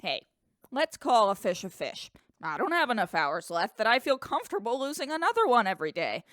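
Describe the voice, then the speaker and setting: A woman's voice: fairly high pitch, very animated, fairly quick, fairly bright tone, no echo, clean studio sound. An actress playing a feisty woman in her eighties, blunt and folksy, in a sci-fi radio drama. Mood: feisty, plainspoken.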